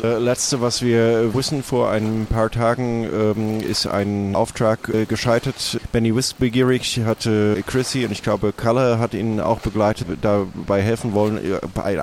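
A man playing a flustered, formal pirate guild official who speaks haltingly in an improvised role-play. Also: lowish voice, steady tone, a little mellow. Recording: clearly noisy background.

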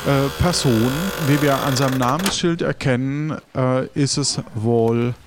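A man improvising the narration of an adventure audio play, halting as he searches for words. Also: medium pitch, noisy background. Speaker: game narrator and player.

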